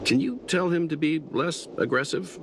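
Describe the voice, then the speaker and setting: A man's voice: on the high side, expressive, normal speed. An actor playing an easygoing, skeptical man in a video game, talking in a dry, hesitant way. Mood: doubtful. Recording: game audio with clear background noise.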